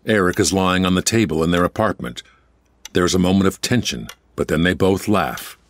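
A man's voice: low-pitched, moderate pace, no echo. A man reading scripted stage directions as the narrator of an audio musical. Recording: loud music bed under the voice. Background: loud instrumental music.

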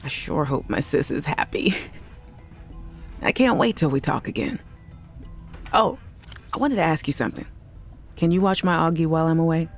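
A woman's voice: sits low, expressive, normal speed, pauses often, faint music behind. A woman voicing an upbeat young woman recording chatty, giggly audio messages to her little sister in a game. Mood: cheerful. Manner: cheerful, chatty, giggly, affectionate. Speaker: game character in audio logs. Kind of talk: voiced game audio log.

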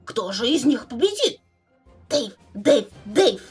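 A woman's voice: a little high, very animated, slow pace, breathy edge, faint music behind, heavily acted. A woman voicing a playful, bossy teenage alien troll girl in a theatrical webcomic dub. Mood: teasing, excited. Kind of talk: fan dub of a webcomic chat.

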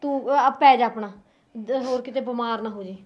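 A worried middle-aged mother speaks, voiced by a woman. Her voice sits high, and she swings scolding to gentle coaxing as she tries to keep her family together. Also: fairly quick, lo-fi sound, close to an everyday voice. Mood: soothing. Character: worried middle-aged mother caught between son and daughter-in-law.

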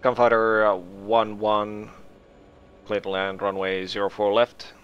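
A man acting as air traffic controller in a military flight game, giving clipped, halting, procedural radio calls. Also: on the low side, little pitch movement, fairly bright tone, muffled recording.

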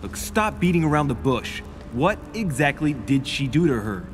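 An actor playing a brisk, sharp-tongued young nobleman in a video game. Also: mid-range voice, big pitch swings, lightly acted. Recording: game audio with music behind.

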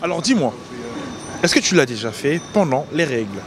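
A man's voice: a little high, sing-song, moderate pace, background noise. A young man hosting a casual street interview, teasing and laughing as he prods passers-by with questions into a handheld mic outdoors. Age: young adult. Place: busy city street outdoors. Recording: street crowd behind.

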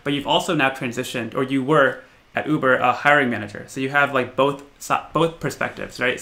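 Quick, bright-voiced male host. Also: medium pitch. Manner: upbeat, asks long questions. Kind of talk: casual interview talk. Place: home room, video call.

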